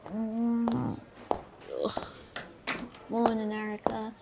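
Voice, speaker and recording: A woman's voice: on the high side, completely flat delivery, very slow, heavy background noise. Woman acting a cheeky female police detective. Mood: teasing. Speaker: actor in an audio play. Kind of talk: naturalistic audio drama acting.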